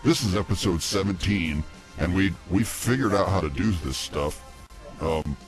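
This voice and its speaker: A man with a pitch-shifted voice, bantering casually as co-host of a comedy podcast. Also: moderate pace, soft background music, poor audio.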